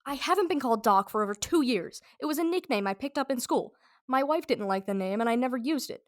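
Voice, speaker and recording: Woman playing an earnest husband, slightly high voice. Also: lively intonation, fast talker, lightly acted. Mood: earnest, defensive. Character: earnest, defensive husband, a businessman. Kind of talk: amateur radio drama acting.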